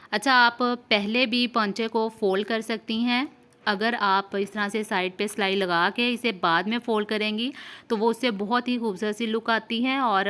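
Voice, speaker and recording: A woman's voice: mid-range voice, a bit bright, clear, resonant tone. A woman hosting a sewing tutorial, explaining each step informally and repeating herself as she goes. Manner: explanatory, repeats steps and often checks in. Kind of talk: informal step-by-step instructional narration.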